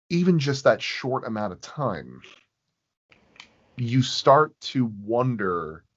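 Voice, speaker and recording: A man telling stories casually in a conversation, with a reflective, halting way of phrasing things. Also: mid-range voice, lo-fi sound. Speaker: podcast guest.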